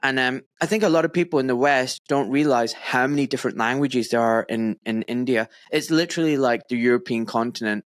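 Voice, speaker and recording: A man's voice: mid-range voice, fairly quick, few pauses. A man hosting a podcast over a remote call, chatting casually and animatedly with friendly slang and laughter. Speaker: podcast host. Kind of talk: casual interview chat.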